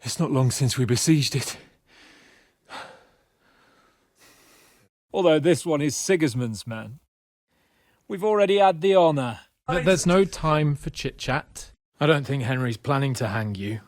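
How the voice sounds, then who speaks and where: An actor playing a haughty, hot-headed young nobleman in a video game, pompous and sharp-tongued. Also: a little high, lively intonation, moderate pace, dry recording, clean studio sound, close to an everyday voice. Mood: earnest.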